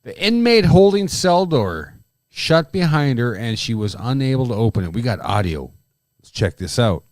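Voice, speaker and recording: A man's voice: medium pitch, sing-song, normal speed, no room sound, clean studio sound. A man co-hosting a casual podcast, joking crudely and swearing often. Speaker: co-host.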